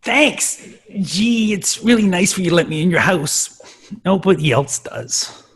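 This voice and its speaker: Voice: medium pitch, animated, unhurried, breathy edge. An adult performer voicing a nerdy, friendless schoolboy in an earnest, awkward, self-deprecating way for a sitcom table read. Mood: glum, self-deprecating.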